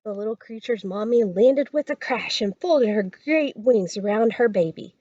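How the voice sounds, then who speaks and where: A woman reading a children's story aloud as a storytime reader, expressive and dramatic. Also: on the high side, normal speed, no room sound, lo-fi sound.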